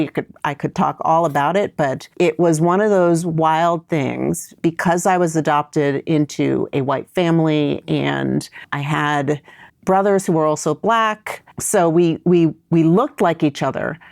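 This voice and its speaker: A woman's voice: low-pitched, gravelly, darkish tone, no room sound, studio quality. A middle-aged woman raised in New York, answering interview questions in a thoughtful, winding storytelling way. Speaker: podcast guest.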